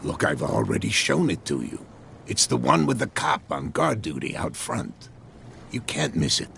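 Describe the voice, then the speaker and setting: A man's voice: sits low, wildly swooping pitch, moderate pace, creaky, fairly bright tone, a little hiss or hum, full character voice. A man voicing a superstitious old sailor at the docks, knowing and teasing, in a video game.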